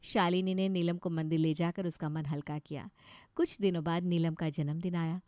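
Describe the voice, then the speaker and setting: A woman's voice: lowish voice, steady tone, normal speed, warm tone, smooth, no room sound. Woman narrating a story, dry sound. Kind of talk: scripted narration.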